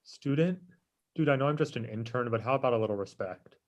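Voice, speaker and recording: A man's voice: mid-range voice, quick pace, lo-fi sound, lightly acted. A cocky eighteen-year-old male intern, acted by a man at a comedy table read. He has a mid-range voice and talks in a snide, slangy, mocking way.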